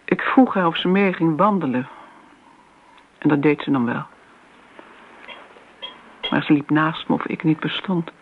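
An actress playing a disdainful older woman who reminisces briskly in a radio drama. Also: sits low. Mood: reminiscing. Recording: dull, noisy old radio play recording.

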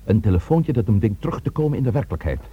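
A man's voice: on the low side, animated, very fast, slightly rough, muffled recording. An actor playing a stern, authoritative man taking charge of a crisis in a radio drama, grave and emphatic. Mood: grave, determined.

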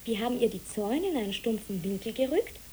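A woman playing an earnest, careful child in a German radio play, measured and questioning. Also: fairly high pitch, expressive, dark tone, lightly acted, rough recording. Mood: eager. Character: earnest, careful child, the more discreet companion. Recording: hissy old radio play recording.

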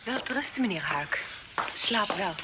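A woman's voice: mid-range voice, animated, a little slow, natural voice. An actress playing a polite, curious young lady in a radio drama. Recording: very noisy old radio recording.

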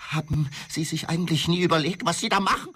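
An actor in a German radio drama playing a blunt, sardonic man who confronts others in a husky, accusing voice. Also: a little high, fairly bright tone, a little hiss or hum. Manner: confrontational, sarcastic. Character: blunt, sardonic male visitor, appalled and accusing.

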